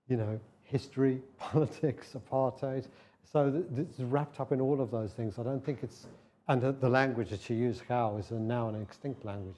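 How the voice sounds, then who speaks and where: A man's voice: medium pitch, mellow. A man on a panel at an indoor event answering an audience question, speaking hesitantly with repeated restarts. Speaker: project presenter at a panel talk. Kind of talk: public Q&A discussion. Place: mid-sized indoor event room.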